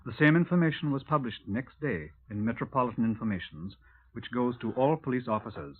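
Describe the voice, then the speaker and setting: An actor playing a deferential, methodical British detective in a formal, measured 1950s radio drama style on an old recording. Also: male voice, medium pitch, expressive, breathy edge. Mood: formal, factual. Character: deferential, methodical male Scotland Yard detective. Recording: tinny, narrow-band vintage radio recording.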